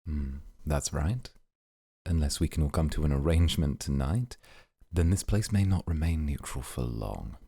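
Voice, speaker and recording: A man playing a stern, serious mafia boss in an ASMR roleplay, gravelly, measured and formal, with dry sardonic understatement. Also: extremely low pitch, mellow, no echo, heavily acted. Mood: grave, guarded.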